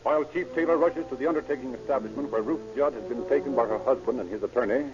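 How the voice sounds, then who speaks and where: An American man narrating an old radio crime drama in a grave, measured, suspenseful style. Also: medium pitch, natural voice. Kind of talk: dramatic scripted radio narration, present tense. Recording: muffled old radio recording, music behind.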